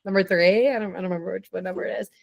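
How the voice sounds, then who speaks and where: A young woman with a low, raspy voice and steady vocal fry, answering questions in an eager, chatty way. Her pitch sits mid-range for a woman, and the sound is close and dry, with no room echo. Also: muffled recording. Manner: chatty and enthusiastic, quick tangents. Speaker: podcast guest. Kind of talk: casual chat.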